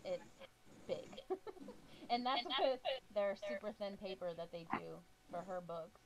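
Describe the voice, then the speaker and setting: A woman's voice: medium pitch, measured pace, gravelly, slight background noise, rough recording. A woman in a book club chatting informally and casually about reading.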